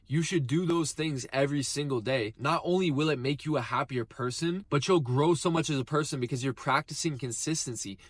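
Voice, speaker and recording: A man's voice: a little high, a little fast, hardly any pauses, no room sound. A twenty-year-old man giving an earnest, emphatic motivational talk to the camera, repeating phrases for weight. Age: 20s. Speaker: motivational vlogger.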